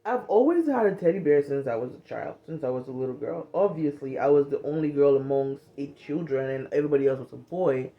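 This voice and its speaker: A woman in her thirties from Cameroon, talking frankly to a phone camera in a small bedroom, animated and swearing freely as she speaks about healing from trauma. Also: a bass voice, a little fast, few pauses, highs cut off. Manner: frank and animated, swearing freely, acts out little quoted lines. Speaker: vlogger. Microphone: phone camera at arm's length.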